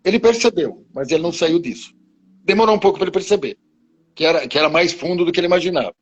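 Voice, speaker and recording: A man in his seventies reminiscing slowly in conversation, halting and restarting his phrases. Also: fairly high pitch, expressive, highs cut off, a little hiss or hum. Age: 70s. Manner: slow, halting, with many restarts. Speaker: guest martial arts teacher.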